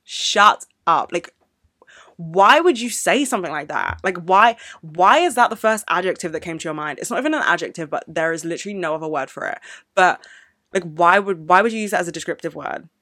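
A woman's voice: on the low side, lively intonation, brisk, bright, forceful tone, no room sound, clean studio sound. Sweary woman ranting on a podcast. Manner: emotional, swears a lot, ranting. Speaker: podcast host. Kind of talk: unscripted solo podcast monologue. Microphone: podcast microphone.

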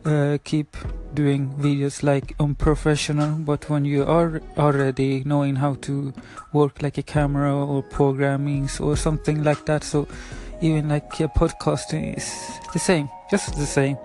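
A man's voice: a little high, completely flat delivery, measured pace, few pauses, a little mellow, faint music behind. A man in his twenties from Sweden, with a Swedish accent in English and Spanish as his first language, hosting a casual solo podcast, hesitant with many fillers and apologies. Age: 20s. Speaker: podcast host.

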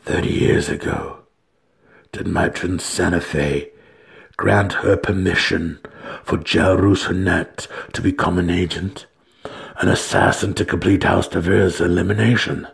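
A man voicing a timid, downtrodden male dark elf with a hesitant, subdued way of speaking, in a fantasy story reading. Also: low voice, steady tone, a little slow, creaky, husky, exaggerated voice. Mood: frightened.

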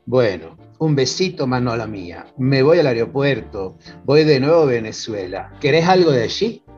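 A man's voice: medium pitch, sing-song, normal speed, a little mellow, dull audio, soft background music, close to an everyday voice. A man playing a doting, mild husband in a radio soap opera. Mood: tender, doting.

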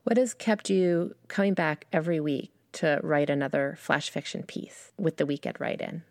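A woman's voice: sits low, moderate pace, no room sound, studio quality. A woman hosting a podcast, reading scripted introductions and interview questions.

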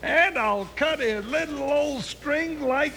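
A folksy, excitable cowboy sidekick with a very high, twangy voice. He drawls slowly and cheerfully. The sound is a noisy vintage radio western. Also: gravelly, heavily acted. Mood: gleeful, scheming. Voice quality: high-pitched voice with rural twang. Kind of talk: old-time radio western acting. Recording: noisy old radio recording.

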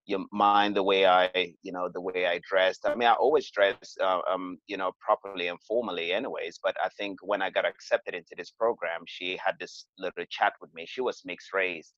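Low-voiced guy from cameroon on a podcast, dry muffled lo-fi sound. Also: fairly even intonation, brisk, hardly any pauses, fairly bright tone, no room sound. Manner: hesitant, many fillers and restarts. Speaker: podcast guest. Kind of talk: conversational interview storytelling. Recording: dull, lo-fi sound.

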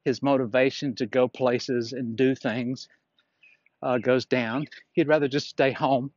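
A man's voice: medium pitch, no room sound, lo-fi sound. A man talking casually on a solo podcast as a fitness instructor, explaining things in a plainspoken, frank manner.

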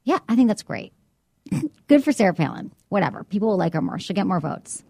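A woman's voice: medium pitch, big pitch swings, fairly quick, dark tone, slightly rough, dry recording. Chatty giggly woman co-host, dry close sound. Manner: chatty, giggly, trails off mid-sentence. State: slightly husky from a cigarette. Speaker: podcast co-host. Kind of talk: casual chat.

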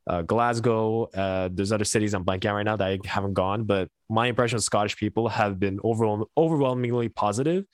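A young Canadian man hosting a casual soccer podcast, chatty and quick to laugh, often restarting his sentences. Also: lowish voice, no room sound, studio quality. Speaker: podcast host. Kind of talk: casual chat. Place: Montreal.

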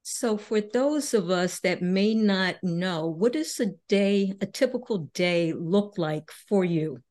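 A woman hosting an interview, asking polite questions in a deliberate way with occasional fillers. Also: medium pitch, a little mellow. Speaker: interviewer, host.

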